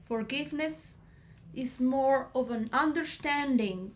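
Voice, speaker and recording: A woman giving a rambling philosophical monologue to role players, shifting between soft musing and loud emotional outbursts. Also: high voice, takes it slowly, slight background noise. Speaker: speaker addressing role players.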